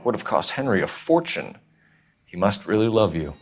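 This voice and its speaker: An actor playing a polite, businesslike 1940s American police detective in a video game, with a clipped, formal manner. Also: male voice, fairly low pitch, big pitch swings, normal speed, breathy edge, exaggerated voice. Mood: probing, polite.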